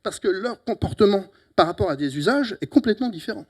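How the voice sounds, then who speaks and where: A middle-aged man from Italy with an Italian accent, giving a lively, teasing closing talk at a conference on a handheld mic, with sudden loud emphatic bursts. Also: sits high, fast, husky. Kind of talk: lively, joking conference speech. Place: conference hall. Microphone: handheld microphone.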